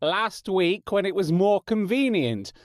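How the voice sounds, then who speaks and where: A man's voice: sits high, sing-song, measured pace, no room sound, studio quality. A man with an English accent chatting casually to listeners as a podcast host.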